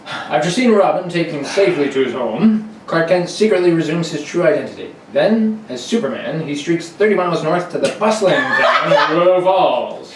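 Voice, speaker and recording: A man's voice: on the high side, expressive, normal speed, a little hiss or hum. A man narrating a radio serial in a dramatic storytelling style, read live. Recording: very echoey room, distant mic.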